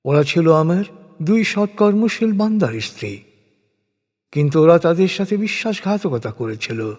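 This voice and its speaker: A man reading a scripture translation as a solemn scripted narration, in measured, formal phrases that fall at the ends. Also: on the high side, sing-song, darkish tone. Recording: long hall-like reverb.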